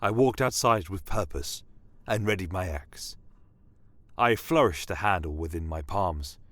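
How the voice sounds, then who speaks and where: A man narrating a scripted first-person fantasy tale in a measured, solemn, dramatic manner. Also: low voice, expressive, fairly bright tone, no echo. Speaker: storyteller.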